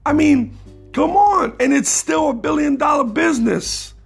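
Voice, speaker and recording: A man hosting his own podcast in a casual, rambling monologue, his voice raspy, with many restarts that turn emphatic. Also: very high voice, a little slow, soft background music. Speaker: podcast host.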